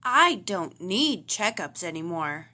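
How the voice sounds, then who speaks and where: A woman plays a boastful papa bear in a children's storybook. He is stubborn and blustery, stumbling over his words as sneezes take hold, and his voice is bright and forceful with lively swings in pitch. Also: slow, heavily acted. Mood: boastful, sneezy. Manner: stammers into big sneezes.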